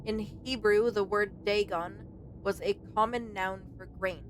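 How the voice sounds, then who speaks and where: A woman's voice: medium pitch, fairly even intonation, a little slow, a little hiss or hum. A woman game streamer giving casual let's play commentary, with game music behind her.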